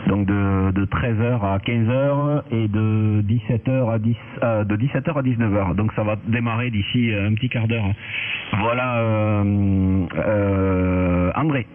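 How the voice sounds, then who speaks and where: A man chatting casually as an amateur radio operator, halting a little and repeating words, heard over a radio link. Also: lowish voice, steady tone. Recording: narrow tinny radio link with hiss.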